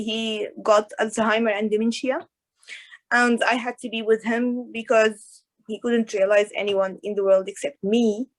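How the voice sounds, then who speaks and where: A woman, an orthodontist guest talking in a casual interview over a video call, with hesitant pauses and restarts. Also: on the high side, rough recording. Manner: hesitant, many restarts and fillers. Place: in Dubai.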